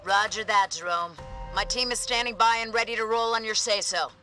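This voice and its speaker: A woman's voice: fairly high pitch, fairly quick. A woman playing a tough, confident supersoldier in a video game, with clipped, wry military delivery. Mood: loyal, ready. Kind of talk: video game cutscene acting. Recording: loud music behind.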